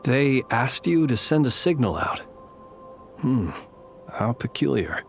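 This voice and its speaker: A man voicing a calm, courtly lightkeeper in a video game, speaking in formal, measured, old-fashioned phrases. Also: mid-range voice, creaky, soft background music, full character voice. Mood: grave.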